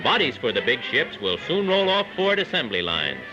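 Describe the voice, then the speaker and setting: A man's voice: mid-range voice, wildly swooping pitch, moderate pace. A man with an American accent reading scripted narration as a 1940s newsreel announcer. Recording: muffled 1940s newsreel soundtrack. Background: music.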